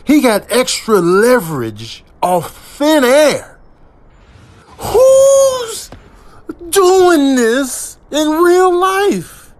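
A man does comic commentary over video clips. He is theatrical and mock-envious, stretching out words, with pitch that swings widely. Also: wildly swooping pitch, extremely slow, dry recording. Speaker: comedian doing voiceover reactions.

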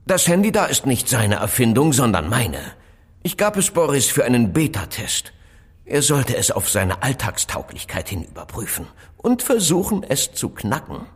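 An actor playing an evasive, cautious phone inventor in an audio drama, speaking politely and deliberately, with rhetorical questions. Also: male voice, medium pitch, huge pitch swings, slightly rough. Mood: guarded, polite. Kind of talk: German audio drama dialogue acting.

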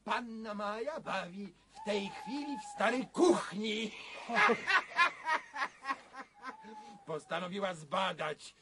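An actor playing a vengeful, mocking castle villain in a radio drama, with theatrical, drawn-out speech. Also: male voice, sits high, expressive, heavy background noise, exaggerated voice. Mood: gleeful mockery. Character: gloating, vengeful castle villain with mad laughter.

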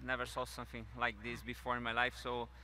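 A man's voice: medium pitch, monotone, fast, pushed and bright, low-quality recording. A man in his thirties with a Brazilian Portuguese accent, a native Portuguese speaker giving a statement as an invited guest. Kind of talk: on-camera interview statement. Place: open farm field outdoors. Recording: outdoor ambient noise.

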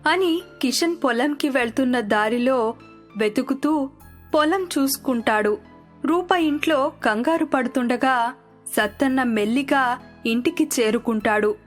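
A woman's voice: high-pitched, measured pace. A woman narrating a scripted moral story as a storyteller, with music underneath.

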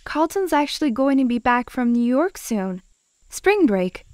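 A woman's voice: high voice, very animated, unhurried, a little mellow, no echo, clean studio sound. A young woman acting in a comic dub as a chatty, caring friend, with natural conversational delivery. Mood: upbeat. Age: young adult.

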